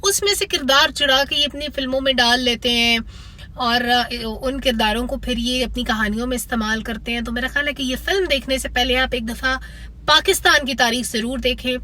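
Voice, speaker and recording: A woman's voice: high voice, brisk, pushed and bright. A woman with a Pakistani accent giving a critical, opinionated spoken film review to viewers.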